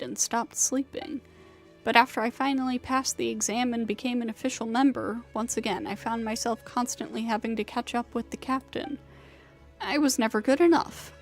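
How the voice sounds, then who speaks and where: A young female rider from a video game, played by a woman, worn out and full of self-doubt. Her voice sits fairly high and carries a bitter, venting weariness, with faint music underneath. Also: natural voice. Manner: weary, venting, self-deprecating. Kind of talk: scripted video game voice acting.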